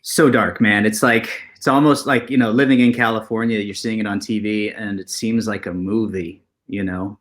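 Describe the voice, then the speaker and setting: A man's voice: on the low side, steady tone, moderate pace, hardly any pauses. A man hosting an interview in a casual, conversational way, with laid-back, slangy phrasing. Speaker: interview host.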